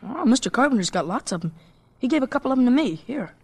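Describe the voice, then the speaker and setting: An actor playing an excited, earnest young boy in a radio drama, gushing eagerly in boyish slang. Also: medium pitch, quick pace, creaky, slightly rough, heavily acted. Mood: subdued, matter-of-fact.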